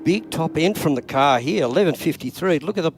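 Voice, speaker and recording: An older man, co-commentating drag races in a relaxed, knowledgeable way. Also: on the high side, fairly quick, noisy background.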